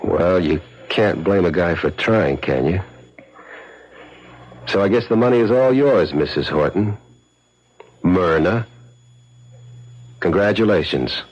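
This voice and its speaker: Cornered con man, seventies radio play: very low pitch, husky, smooth-talking. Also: lively intonation, slow, long pauses, darkish tone, heavily acted. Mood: wry, resigned. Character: cornered smooth-talking male swindler, resigned. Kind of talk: 1970s radio drama acting. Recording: muffled 1970s AM radio broadcast.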